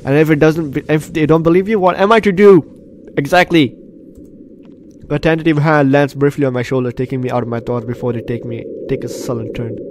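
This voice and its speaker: A man's voice: fairly high pitch, steady tone, fairly quick, a little mellow, a little hiss or hum. A man hosting a game walkthrough, reading the on-screen story aloud and reacting in an exclamatory way. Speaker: gaming host. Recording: game music behind commentary. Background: game music.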